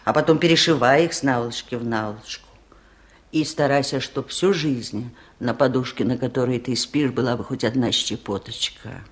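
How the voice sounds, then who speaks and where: A woman's voice: extremely low pitch, normal speed. An older woman playing a wise, lonely village aunt in a 1970s radio play, speaking in a folksy, musing way. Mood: gentle, confiding.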